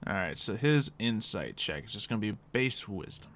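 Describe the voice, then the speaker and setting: Animated man running a tabletop game. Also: on the low side, moderate pace, a little hiss or hum. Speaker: game master. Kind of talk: casual narration of a tabletop roleplay game.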